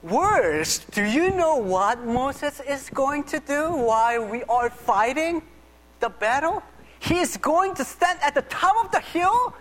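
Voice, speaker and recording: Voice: high voice, expressive, slow, fairly bright tone, pitched above the performer's own voice, full character voice. A pastor speaking in the first person as Joshua, an anxious young Israelite man who assists Moses, stammering and talking straight to the congregation. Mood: alarmed. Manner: fretful, stammering, speaks to the audience directly. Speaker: pastor acting out a biblical figure in first person. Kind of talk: first-person dramatic monologue in a sermon. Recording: echoey hall reverb.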